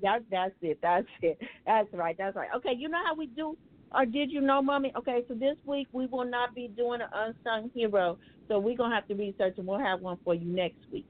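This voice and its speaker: A woman's voice: fairly high pitch, fairly quick. Joking woman radio host, thin tinny sound. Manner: loose, joking, steers the show. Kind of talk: casual radio chat. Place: radio studio. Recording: tinny phone-like radio audio.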